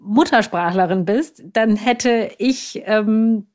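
Podcast-Moderatorin mit mittlerer Stimmlage. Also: female voice, medium pitch, slow, a little mellow. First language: German. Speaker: podcast host. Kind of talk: conversational interview.

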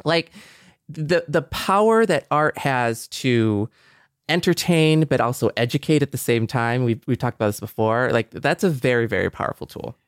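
Middle aged guy chatting on a podcast, earnest n thoughtful, pauses a lot, smooth voice, no room echo. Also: medium pitch, studio quality. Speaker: podcast co-host. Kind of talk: reflective podcast conversation.

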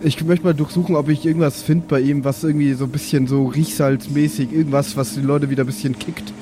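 Higher-voiced man role-playing at a tabletop. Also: steady tone, fast, noisy background. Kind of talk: improvised in-character role-play.

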